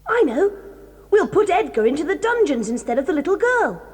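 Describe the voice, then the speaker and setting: A woman's voice: sits high, sing-song, brisk, darkish tone. George, a bold tomboyish girl. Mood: brisk. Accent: British.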